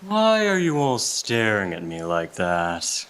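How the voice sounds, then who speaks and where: A man playing a gentle, doting, poor Victorian father in a live radio play, with a tender, halting delivery. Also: fairly low pitch, huge pitch swings, smooth, heavily acted. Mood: playful surprise. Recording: noisy live stage recording with clicks.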